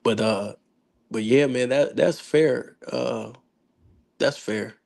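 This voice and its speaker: A man with a gravelly, hoarse voice chats about sports in a loose, slangy way. He rambles and restarts often, pitched in the middle range for a man. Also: takes it slowly, darkish tone. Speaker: podcast host. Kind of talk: casual sports chat.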